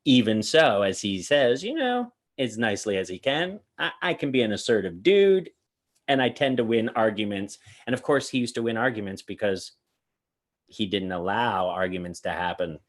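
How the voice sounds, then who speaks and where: A man's voice: lowish voice, sing-song, moderate pace. A man co-hosting a magic review show, chatting casually and rambling through anecdotes with many restarts. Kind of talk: casual panel chat.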